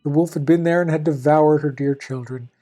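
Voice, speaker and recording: A woman hosting and narrating a storybook tale in a formal, deadpan manner with dry asides. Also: sits low, a little fast, soft background music. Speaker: fairy tale reader and host.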